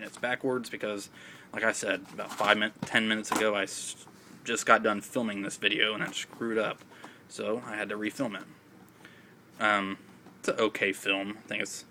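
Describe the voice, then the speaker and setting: A man talks casually about films with a raspy voice and an occasional cough, rambling with many fillers. His voice sits low and fairly flat in pitch, with a bright, forward edge. A faint noise runs behind him on a phone recording. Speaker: film vlogger. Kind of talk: casual video chat.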